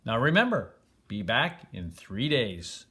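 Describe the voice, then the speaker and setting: An older man voicing a storybook king who gives orders in a deliberate, emphatic way. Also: mid-range voice, big pitch swings, pushed and bright, pitched below the performer's own voice, exaggerated voice. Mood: commanding. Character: storybook king setting a solemn test for suitors.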